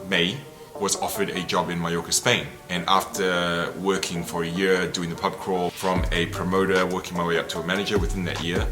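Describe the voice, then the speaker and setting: A man, telling his life story to camera in a candid, reflective, casual way. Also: low voice. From New Zealand. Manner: reflective and candid, loose and conversational. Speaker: travel content creator. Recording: voice over loud background music. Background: music.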